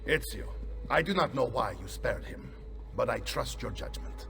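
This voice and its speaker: Gravelly, loyal ally. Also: male voice, mid-range voice, lively intonation, moderate pace, fairly bright tone. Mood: reassuring. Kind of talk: dramatic video game acting.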